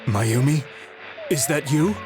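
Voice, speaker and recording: An actor playing a despondent, guilt-ridden young man in a video game, telling his story in a grave, halting way. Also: on the high side, lively intonation. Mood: desperate calling. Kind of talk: dramatic video game voice acting, confessional monologue. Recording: background music under the voice.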